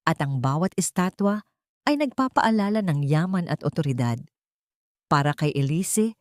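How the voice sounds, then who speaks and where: A woman reading a scripted, dramatic love story in a measured, solemn, melodramatic way. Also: fairly low pitch, a little mellow, no echo, studio quality. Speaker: story narrator.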